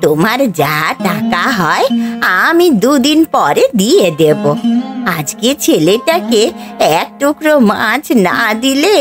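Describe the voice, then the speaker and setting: Elderly, poor village woman, female actor: cartoon pleading, very slow and expressive, faint music underneath. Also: fairly high pitch. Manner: pleading, plaintive. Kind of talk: cartoon voice acting.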